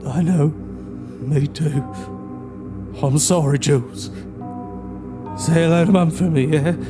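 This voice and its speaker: Grief-stricken young man, audio drama, anguished, faltering slow delivery, music underneath. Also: a little high. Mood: sorrowful. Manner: halting, anguished, pleading. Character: grief-stricken young man forced to kill possessed siblings.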